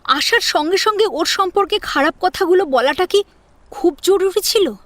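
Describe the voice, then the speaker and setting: A woman's voice: very high voice, normal speed, a bit bright, no echo, voice pushed up. A woman playing an outspoken, indignant daughter-in-law in an audio family drama, reproaching the household with pointed questions. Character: outspoken, indignant young wife defending her sister-in-law. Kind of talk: Bengali audio story drama.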